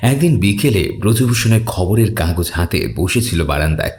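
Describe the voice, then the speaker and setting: A man narrating a scripted horror audio story as its storyteller, in a dramatic, expressive manner. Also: low-pitched, normal speed.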